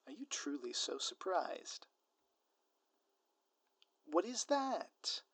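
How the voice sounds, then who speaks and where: A man's voice: a little high, sing-song, very slow, a bit bright, breathy edge, no echo, heavily acted. A man playing a grandiose, scheming supervillain in an audio roleplay, with florid, pompous, melodramatic delivery. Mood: theatrical, taunting. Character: grandiose theatrical male supervillain, lovesick and scheming. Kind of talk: scripted audio roleplay monologue.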